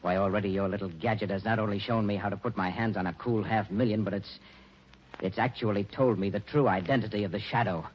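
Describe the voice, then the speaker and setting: Actor as a low-voiced sardonic radio crook. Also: male voice, steady tone, quick pace, lightly acted. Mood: smug, menacing. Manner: sardonic, slangy. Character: smug, calculating male crook, menacing and sardonic. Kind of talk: 1940s radio acting. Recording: noisy, muffled 1940s radio recording.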